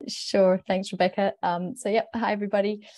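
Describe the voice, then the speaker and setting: A woman's voice: medium pitch, flat delivery, fairly quick, darkish tone, dry recording. A woman with a South African accent, an investment manager on a panel, speaking casually and hesitantly with repeated words. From Zimbabwe, raised in South Africa.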